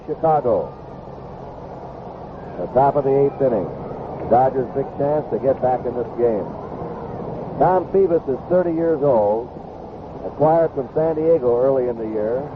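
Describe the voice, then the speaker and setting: A man calling live baseball play-by-play as an announcer on an old radio broadcast. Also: a little high. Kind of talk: live sports play-by-play commentary. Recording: noisy tinny vintage 1970s radio broadcast.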